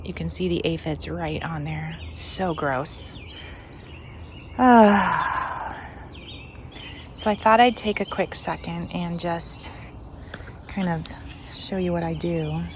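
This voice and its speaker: A woman's voice: lowish voice, takes it slowly, pauses often, noisy background. A woman hosting a gardening vlog, chatting casually and musing as she shows her garden. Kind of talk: casual garden tour chat.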